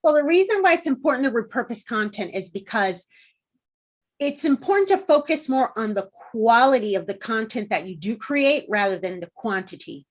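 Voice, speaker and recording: A woman's voice: on the high side, very animated, moderate pace. A woman in her forties from Puerto Rico, a guest explaining her work in a friendly way with frequent ums. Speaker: guest, content marketing consultant. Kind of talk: interview answers.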